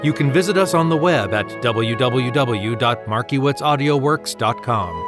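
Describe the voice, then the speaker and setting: A man's voice: mid-range voice, moderate pace. Male storybook narrator over music.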